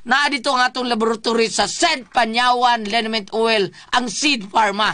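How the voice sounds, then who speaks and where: A woman's voice: a little high, steady tone, normal speed, pushed and bright. A woman with a Cebuano accent hosting radio, chatting through greetings and product plugs. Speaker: radio host.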